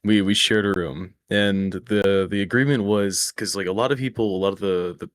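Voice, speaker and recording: A man with a slightly raspy voice, rambling through a story in casual chat over a voice call. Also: on the low side, steady tone, moderate pace. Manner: rambling, self-correcting storyteller. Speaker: stream guest. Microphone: close microphone.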